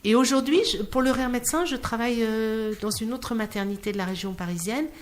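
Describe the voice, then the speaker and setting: A woman's voice: fairly high pitch, a little fast. A woman from Clermont-Ferrand in France speaking as an interview guest, telling anecdotes expressively and acting out dialogue. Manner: expressive storyteller, acts out quoted dialogue. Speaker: interview guest, singer working in hospitals. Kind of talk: animated anecdotal interview talk.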